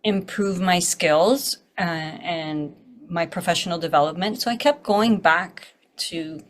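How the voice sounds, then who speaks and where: A woman from Toronto, answering interview questions in a measured, slightly hesitant way. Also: fairly low pitch. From Toronto, Canada. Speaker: guest, home stager.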